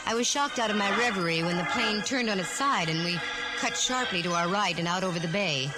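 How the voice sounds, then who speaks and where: A woman's voice: fairly low pitch, lightly acted, poor audio. An actress playing a wry, self-assured female private eye in the style of 1940s radio detective drama. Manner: wry, breezy, quippy. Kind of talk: 1940s radio detective drama acting with first-person narration. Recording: vintage 1940s radio recording with music.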